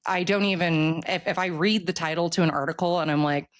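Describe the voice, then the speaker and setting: The speaker talks in a casual, conversational interview style at a mid-range pitch. The delivery is animated and rambles through explanations, with relaxed swearing dropped in. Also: a little fast, no echo.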